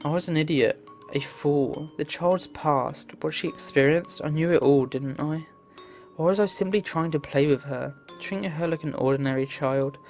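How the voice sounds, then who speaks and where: A man's voice: a little high, moderate pace, a little mellow. A man reading visual novel text aloud in an earnest, theatrical way as a game playthrough host. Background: game music.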